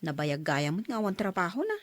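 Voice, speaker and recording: An actress playing a practical farmer's wife who complains in a gently teasing way. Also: female voice, on the low side, sing-song, a little fast. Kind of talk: audio drama acting.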